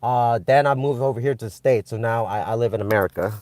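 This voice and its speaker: A young man from Vietnam chatting with live-stream viewers, excitable and rambling, with many restarts and fillers. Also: mid-range voice, quick pace, no echo. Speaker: channel host streaming live. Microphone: phone.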